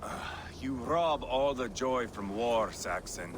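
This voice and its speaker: An actor playing a brutal, foul-mouthed Viking warrior in a game cutscene, sneering and bullying with menace. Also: male voice, mid-range voice, lively intonation, slow pace, noisy background. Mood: mocking. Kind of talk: video game cutscene acting.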